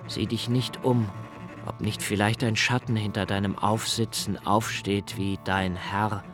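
A man playing a brooding, introspective young poet-diarist haunted by dread, speaking a contemplative, halting literary monologue for a radio drama. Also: lowish voice, little pitch movement, music in the background, lightly acted. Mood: haunted, grave.

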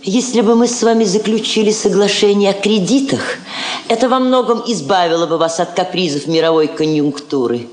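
A woman's voice: mid-range voice, brisk, darkish tone, natural voice. An actress playing a poised, witty Soviet woman ambassador in a radio play, speaking articulately with diplomatic irony. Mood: poised, wry. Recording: roomy old radio drama, music behind.

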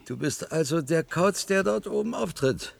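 An actor in a German radio play, playing a brash, bossy but chummy worker with a blunt, colloquial way of talking. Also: male voice, a little high, expressive, normal speed, vocal fry, slight background noise. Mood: chatty, blunt. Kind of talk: German radio drama acting.

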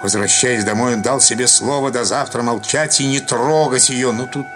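A man narrating a literary story as an actor would, in an expressive scripted reading. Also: medium pitch, normal speed. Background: music.

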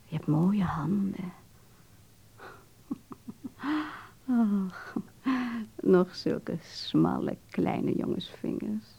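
A woman playing an imperious, seductive famous actress in a radio drama, with theatrical, drawn-out speech. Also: on the low side, gravelly, warm tone, slightly rough, highs cut off, heavily acted. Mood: seductive, flattering.

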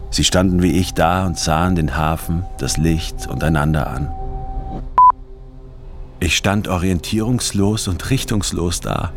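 An actor playing a disoriented, brooding man who narrates a literary radio drama in an introspective, measured way. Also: low voice, close to an everyday voice. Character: disoriented, brooding male first-person narrator adrift in a crowd. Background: prominent music.

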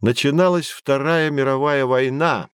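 An actor playing a knowledgeable man who guides children through an educational audio drama, explaining things in a clear didactic way. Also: mid-range voice, unhurried, dry recording, clean studio sound, lightly acted. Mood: patient, explanatory. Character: knowledgeable male teacher guiding children on adventures. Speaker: guide explaining history and technology.

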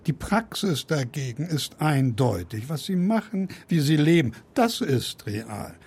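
An actor playing an eccentric older literature professor in a radio play, blustering, boastful and scolding in a pompous manner. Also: male voice, fairly high pitch, very animated, measured pace, darkish tone, breathy edge, exaggerated voice. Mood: lecturing.

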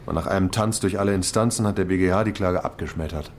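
A deep-voiced, methodical investigator. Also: male voice, fast talker, slight background noise. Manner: matter-of-fact, reporting facts. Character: methodical male police investigator. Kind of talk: radio play acting.

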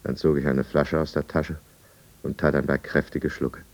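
Very deep, brooding confessional narrator. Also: male voice, level tone. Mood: confessional, tense. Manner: measured, brooding. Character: remorseful male drinker confessing his own downfall. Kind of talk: scripted first-person narration in 1950s German radio drama. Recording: dull, muffled 1950s radio recording.

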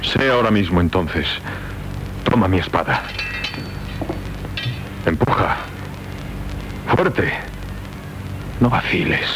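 A low-voiced young knight speaks with solemn fervour, torn between love and honour. It is declamatory radio-play acting on an old tape with faint noise. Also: male voice, expressive, takes it slowly, pauses often. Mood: fervent urging. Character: Rodrigo, honour-bound young knight, tormented lover. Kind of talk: 1970s Spanish radio drama acting. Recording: noisy 1970s radio tape.

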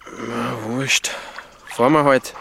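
A man's voice: medium pitch, steady tone, slow, vocal fry, pushed and bright, slight background noise, heavily acted. A man playing an easygoing, common-sense alpine nature boy with an Austrian accent in a German radio play, laconic and down-to-earth.

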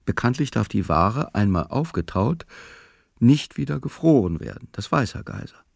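A man narrating a literary audio drama in measured, clipped, matter-of-fact phrasing. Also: mid-range voice, very animated, vocal fry, darkish tone, no echo, studio quality. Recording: music behind the voice. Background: music.

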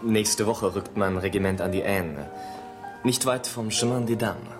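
Man playing a shy, smitten young officer, low-voiced. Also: a little slow, lightly acted. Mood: sincere, subdued. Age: young adult. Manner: hesitant, earnest, tongue-tied. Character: shy, infatuated young Russian lieutenant in French army. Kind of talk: German radio play acting. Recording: studio radio play with music behind.

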